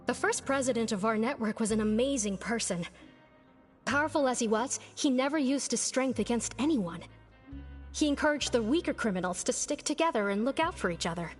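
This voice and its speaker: Woman playing a respectful inmate, slightly high voice. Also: lightly acted. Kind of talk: video game voice acting.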